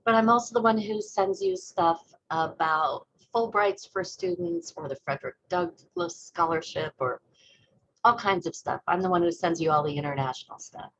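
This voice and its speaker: Low-voiced woman coordinator on a call. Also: expressive, normal speed, creaky, highs cut off. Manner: warm, encouraging, informative. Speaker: international education coordinator. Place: video call.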